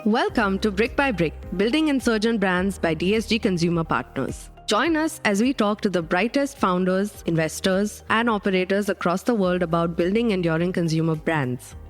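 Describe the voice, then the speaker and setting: A woman's voice: medium pitch, expressive, moderate pace, hardly any pauses. A woman reading a scripted podcast introduction as the announcer. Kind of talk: scripted narration. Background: music.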